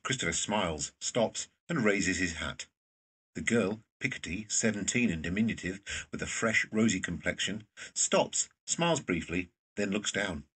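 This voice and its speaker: Low, husky male narrator. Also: lively intonation, normal speed, fairly bright tone, far from the mic. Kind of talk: scripted narration of stage directions.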